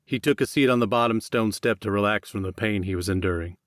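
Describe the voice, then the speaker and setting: A man's voice: lowish voice, quick pace, dry recording, clean studio sound. A man reading scripted fiction as an audiobook narrator.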